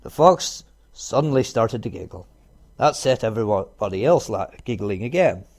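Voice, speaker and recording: A man reading a children's storybook aloud in an expressive narrating style. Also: fairly low pitch, normal speed. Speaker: storybook reader.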